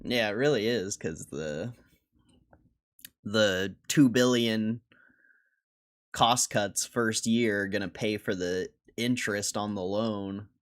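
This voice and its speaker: A man's voice: on the low side, takes it slowly, long pauses. A man hosting a livestream, reacting in casual, slangy commentary and repeating phrases for emphasis. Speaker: livestream host reacting to an interview.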